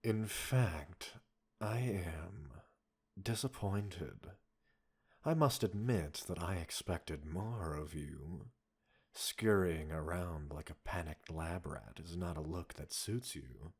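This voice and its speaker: A man playing a cold, condescending villain scientist in an audio roleplay, a possessive captor speaking in a measured, mocking, formal way. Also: sits low, breathy edge, heavily acted. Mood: contemptuous. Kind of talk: dramatic audio roleplay acting addressed to listener.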